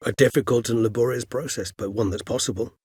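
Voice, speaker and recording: An actor playing a courteous, erudite old male vampire in a video game, speaking in eloquent, formal, measured phrases with wry philosophy. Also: mid-range voice, fairly even intonation, darkish tone, breathy edge, no echo, clean studio sound. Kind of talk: video game character dialogue.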